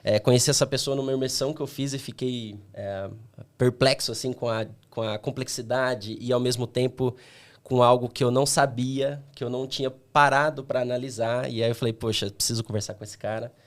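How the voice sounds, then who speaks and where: Relaxed male co-host, mid-range pitch, easygoing. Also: fairly quick. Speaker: podcast co-host. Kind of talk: casual podcast hosting.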